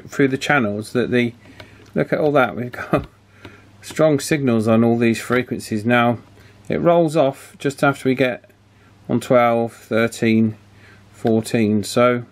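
A man giving an informal hands-on demonstration, hesitant and thinking aloud as he works. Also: medium pitch. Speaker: radio repair hobbyist presenting. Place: indoor electronics workbench.

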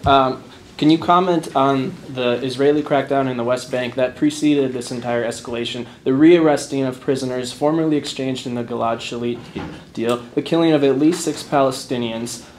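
A man's voice: medium pitch, steady tone, moderate pace, a little mellow, rough recording. A man activist putting pointed political questions in an articulate, challenging way. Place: conference room, camera mic. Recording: faint room noise.

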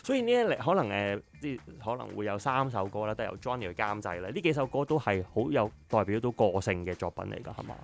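A man's voice: fairly low pitch, sing-song, fast talker, creaky, hardly any pauses. A man with a Hong Kong accent, casually interviewing as a radio host through a face mask in a studio. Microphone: studio broadcast microphone, through a face mask. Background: music.

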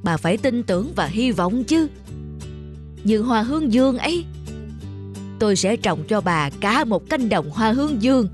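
A devoted old cartoon farmer. He is an earnest, tender husband who speaks with deep affection, over background music. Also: sing-song, normal speed, pauses often. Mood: earnest, encouraging. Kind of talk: cartoon dubbing.

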